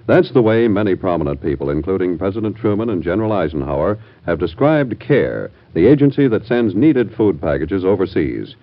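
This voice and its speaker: Low-voiced American vintage radio announcer, muffled. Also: male voice, big pitch swings, a little fast, hardly any pauses. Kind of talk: scripted 1940s-50s radio announcing. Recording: muffled vintage radio broadcast, faint music.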